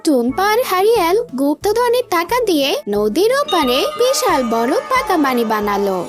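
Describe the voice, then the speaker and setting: Very high, animated storybook narrator. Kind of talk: scripted cartoon story narration.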